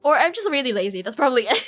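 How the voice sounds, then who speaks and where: A woman's voice: high-pitched, big pitch swings, quick pace. A young woman from Ireland chatting casually as she streams a game, informal and slangy, often self-deprecating. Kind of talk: casual live stream chat. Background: game music.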